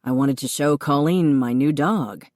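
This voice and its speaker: A dry-witted, gruff grown man who answers in short, understated lines, pitched low and spoken slowly, as a woman narrator puts him on. The sound is close and dry. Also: a little mellow, dry recording.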